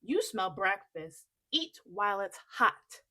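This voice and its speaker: A woman's voice: mid-range voice, sing-song, takes it slowly, pushed and bright, heavily acted. A woman voicing a bossy, unimpressed giant's wife in a children's storybook, speaking curtly and dismissively. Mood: impatient. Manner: curt, dismissive. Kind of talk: children's storybook read-aloud.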